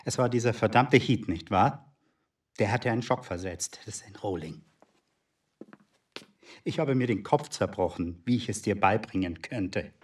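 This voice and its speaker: A man's voice: on the low side, moderate pace, pauses often, slightly rough. An actor playing an agitated middle-aged secret agent in a German radio drama, blustering and pleading with his wife. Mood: uneasy, coaxing. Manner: blustering, pleading, swinging between coaxing and outrage. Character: agitated, self-pitying husband, a shady secret agent.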